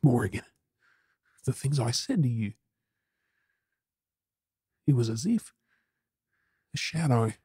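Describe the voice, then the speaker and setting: An Australian man playing a troubled, remorseful young man in an ASMR audio drama, with a husky, breathy voice. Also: medium pitch, animated, slow, pauses often, dark tone, no echo, studio quality, heavily acted. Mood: remorseful, hushed.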